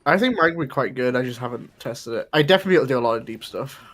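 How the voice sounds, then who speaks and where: A man chatting casually with friends while gaming, giving quick, excitable callouts. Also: mid-range voice. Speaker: co-player.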